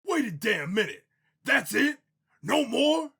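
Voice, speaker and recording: Man acting a brash, raspy, hot-headed tough guy. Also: very high voice, sing-song, slow pace, exaggerated voice. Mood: exasperated. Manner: brash, shouty, slangy. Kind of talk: dramatic fan dub acting.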